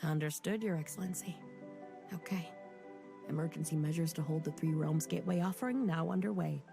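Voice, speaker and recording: Wry, low-voiced young officer. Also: female voice, creaky. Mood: respectful. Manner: brisk, respectful, wry. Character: loyal, joking young female military officer. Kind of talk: game cutscene voice acting. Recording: game audio with loud music.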